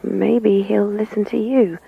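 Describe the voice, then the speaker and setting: A resigned, apologetic wife in a radio play. She sighs through a breathy, gravelly voice, recorded a little muffled. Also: mid-range voice, steady tone, takes it slowly, full character voice. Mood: frustrated. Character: weary, apologetic wife of a drunkard. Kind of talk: radio drama acting.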